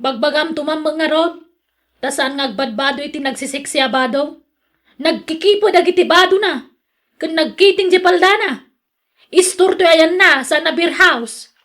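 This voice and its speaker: High-pitched nagging Ilocano wife played by a woman. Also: moderate pace, a bit bright. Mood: scolding, complaining. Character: nagging, jealous wife, hardworking and exasperated. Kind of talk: radio drama acting.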